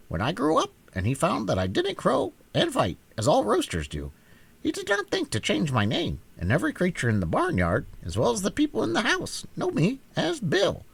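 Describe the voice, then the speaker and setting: Guy doing a talking hen for a storybook, scratchy and creaky, swoops all over, cocky and unflappable. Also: high voice, voice pushed up, exaggerated voice. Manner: matter-of-fact, unflappable, quick to retort. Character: chatty, self-assured talking yellow hen. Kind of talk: storybook character voice in audiobook reading.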